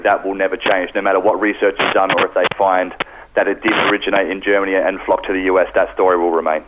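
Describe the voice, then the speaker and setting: A man's voice: low voice, little pitch movement, quick pace. A man, a pastry specialist, explaining a recipe step by step and often repeating words. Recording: noisy crackling phone line.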